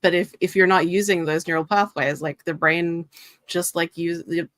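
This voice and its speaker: A woman's voice: fairly low pitch, steady tone, moderate pace, fairly bright tone, no echo. A woman co-hosting a casual livestream chat, earnest and rambling as she works through ideas. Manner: rambling, many "like" fillers, earnest.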